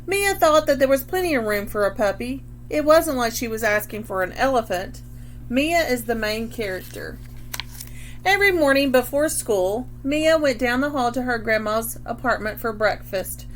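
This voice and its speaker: A woman teacher reading a story aloud to children, deliberately and instructively, stopping to explain key words. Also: a little high, lively intonation, a little hiss or hum.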